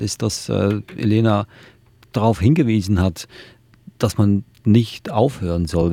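A man hosting a radio podcast, chatting in a relaxed way with occasional filler pauses. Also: lowish voice, no echo. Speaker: podcast host.

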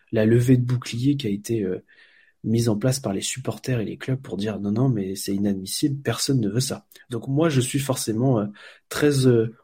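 A man's voice: on the low side, dark tone, slightly rough. A man from France, a volunteer football blogger, answering interview questions in a hesitant way, with many fillers and restarts.

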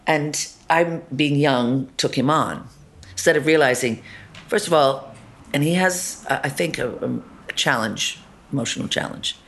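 A woman actor being interviewed about her role, talking animatedly, jumping between loud asides and soft murmurs, often ending with tag questions. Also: extremely low pitch, measured pace. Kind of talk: conversational interview.

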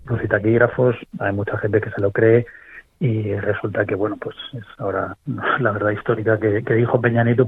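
Spanish man, husky low voice, thoughtful guest over a phone-like line. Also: no echo. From Spain. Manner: thoughtful, restarts often. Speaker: guest commentator. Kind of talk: casual interview talk. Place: remote call from abroad.

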